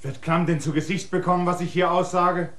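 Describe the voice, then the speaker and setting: A man's voice: high voice, steady tone, brisk, a bit bright. An actor playing a restless, defiant male land surveyor in a radio drama, brooding and stubborn in tone. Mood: wary.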